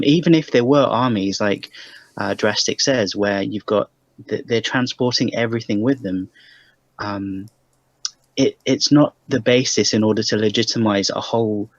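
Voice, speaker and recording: A man's voice: lowish voice, dry recording. A man hosting a casual critical discussion in an incredulous, mocking manner. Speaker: panel host. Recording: dull, muffled close recording.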